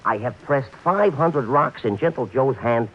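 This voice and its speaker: An actor playing a small-time racetrack bookie who talks in florid, overly formal phrases mixed with track slang, in a 1940s radio play. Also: male voice, mid-range voice, lively intonation, unhurried, a little hiss or hum, natural voice. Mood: pompous. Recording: muffled vintage radio broadcast.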